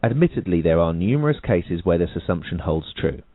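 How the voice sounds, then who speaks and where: Man reading aloud, deep voice, lively pitch, measured and emphatic, tinny radio line. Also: dry recording. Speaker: audiobook reader. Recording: tinny phone-like radio broadcast.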